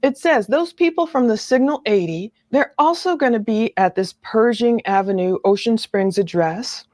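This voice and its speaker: A woman's voice: on the high side, moderate pace, a little mellow, no echo, studio quality. A woman giving casual analytical true-crime commentary, explaining as she goes and careful to qualify what she says.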